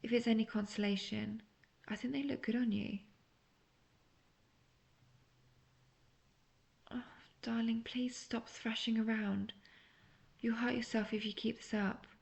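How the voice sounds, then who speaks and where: A woman playing an obsessive, manipulative witch in an intimate roleplay audio drama, speaking in a breathy, coaxing, often half-whispered way. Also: fairly high pitch, fairly even intonation, moderate pace, a little mellow. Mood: sweetly menacing. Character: obsessive young female witch, lovestruck and manipulative.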